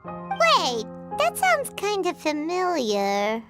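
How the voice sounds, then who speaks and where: A woman voicing a tiny, childlike fairy companion in a video game, perky and curious, with a squeaky voice. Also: wildly swooping pitch, drawn-out words, heavily acted. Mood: thoughtful. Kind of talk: scripted video game voice acting. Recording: loud background music under the voice.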